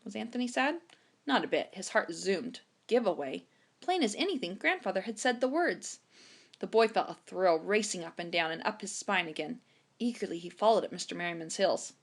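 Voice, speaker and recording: A woman reading a children's chapter book aloud in an expressive storytime narration style. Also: mid-range voice, moderate pace, vocal fry. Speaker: storytime reader.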